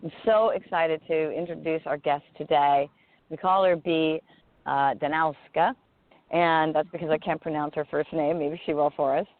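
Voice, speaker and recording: A woman's voice: low-pitched, fairly even intonation, moderate pace, no room sound. A woman hosting an interview show, introducing and questioning her guest with eager enthusiasm. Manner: enthusiastic, uses fillers like "you know". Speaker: host. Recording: dry, tinny call audio.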